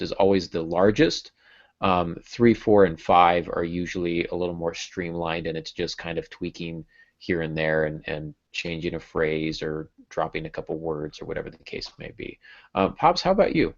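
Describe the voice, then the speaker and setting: A middle-aged American man with a very low voice talks in an easygoing, joking podcast style. The sound is dry and a little dull, as on a video call through an earbud headset. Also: moderate pace, no room sound. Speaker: podcast host and novelist. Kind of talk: casual conversational podcast chat. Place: small home room with bookshelf.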